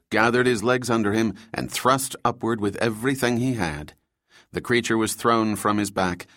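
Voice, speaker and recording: A man narrating a thriller audiobook in a steady, even reading voice. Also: lowish voice, dry recording, studio quality.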